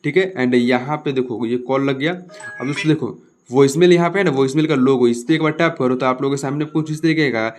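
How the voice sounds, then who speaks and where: A man's voice: mid-range voice, brisk, faint music behind. A man giving a casual step-by-step phone tutorial, addressing viewers as friends and often checking they follow. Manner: repetitive tag questions, addresses viewers as friends. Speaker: tech tutorial host.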